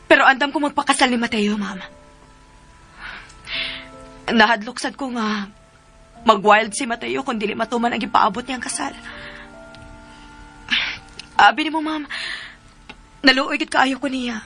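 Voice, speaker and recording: A woman's voice: on the high side, normal speed, pauses often, sharp tone, faint music behind. A Filipino woman in a radio drama playing an earnest, deferential and fearful young woman. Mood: deferential, worried. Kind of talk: Philippine radio drama acting.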